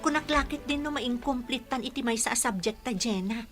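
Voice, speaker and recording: Woman acting college student, Filipino accent, anxious, high voice, radio drama. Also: normal speed, music in the background, lightly acted. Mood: worried.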